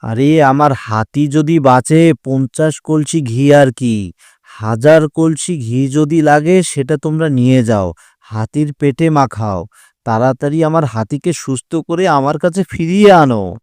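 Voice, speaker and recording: A man acts out an excitable character from an animated village fable. His voice sits a little high and is emphatic and exclamatory, recorded dry. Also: a little slow, clear, resonant tone, no echo, natural voice. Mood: delighted, commanding. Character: excitable rustic farmers and a king in folktale.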